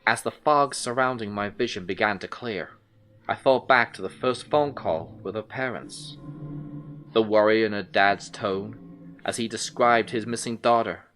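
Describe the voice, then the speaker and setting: Forceful, brooding detective narrator. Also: male voice, fairly low pitch, measured pace, faint music behind. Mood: brooding, intent, then tense, cautious. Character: male missing-persons detective, intent, unsettled, recounting his case. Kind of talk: first-person noir audio drama narration.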